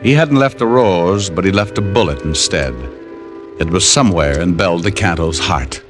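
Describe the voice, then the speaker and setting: A man's voice: low voice, normal speed, loud music behind the voice, natural voice. A man acting a laconic, world-weary American private detective in a 1950s radio drama. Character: laconic hardboiled male private detective narrating his case.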